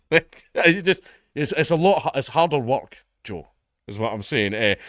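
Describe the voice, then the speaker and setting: A man's voice: no room sound, clean studio sound. Sweary sarcastic Scottish man. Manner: sarcastic, sweary, mocking quoted voices; reads article passages in a lower, quieter voice. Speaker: online commentator. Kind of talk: reaction commentary, reading and mocking an article.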